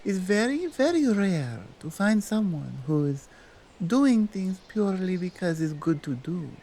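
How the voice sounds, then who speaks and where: A woman playing a strong, dominant female Krampus in an ASMR roleplay, speaking in a deliberate, halting way with a Nordic accent. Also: fairly low pitch, sing-song, warm tone, a little hiss or hum. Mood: earnest, deliberate. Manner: slow, deliberate, halting foreign-sounding phrasing. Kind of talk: ASMR roleplay monologue addressed to the listener.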